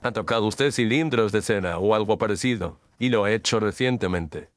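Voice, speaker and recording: A man playing a calm, incisive detective in an audio drama, speaking in a measured, analytical way. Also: lowish voice, dry recording, natural voice. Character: calm, incisive male detective, precise reasoner.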